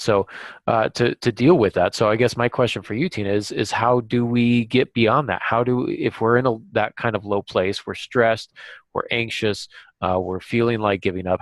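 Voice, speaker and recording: A man hosting a podcast, talking reflectively in a halting, thoughtful way. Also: lowish voice, no room sound. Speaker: podcast host and author.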